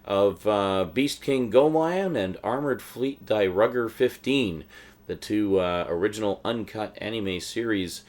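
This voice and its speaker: Low-voiced man, casual halting vlog talk. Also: a little mellow. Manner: halting, thinking aloud, conversational. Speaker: host of a collector's show. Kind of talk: casual rambling vlog commentary on a collection.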